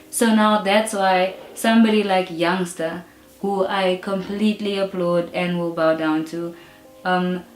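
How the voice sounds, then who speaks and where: A young woman with a South African accent speaks her mind in a casual interview, firm and emphatic, with a steady "you know" running through her talk. Her voice sits at an ordinary pitch for a woman and rings in a roomy space, with faint music playing behind her. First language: English. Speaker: rap artist being interviewed.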